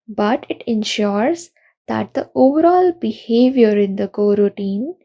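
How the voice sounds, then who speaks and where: A young woman speaking at a programming conference, walking through technical pitfalls one by one. Her voice sits a little high but has a mellow, dark tone, with a lot of gravelly fry at the ends of phrases. She explains in an earnest, steady manner. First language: not Russian. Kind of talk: recorded technical talk.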